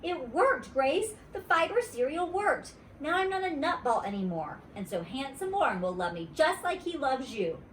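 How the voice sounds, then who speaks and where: A woman voicing an excitable, chatty little schoolgirl storybook character, insistent and gushing, with childish grammar. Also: sits high, moderate pace, hardly any pauses, pitched above the performer's own voice. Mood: proud, excited. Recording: roomy, distant mic, faint noise.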